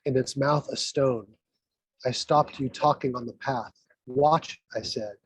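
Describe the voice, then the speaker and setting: A man's voice: mid-range voice, a little mellow, husky, no room sound, low-quality recording. A man reading his poetry aloud at an event, with informal asides, as a guest poet.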